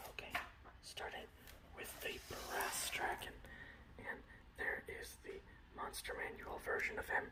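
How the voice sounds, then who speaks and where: Male ASMR creator, breathy whisper with playful halting repeats, recorded roomy and slightly distant. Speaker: ASMR creator showing painted miniatures and reading lore.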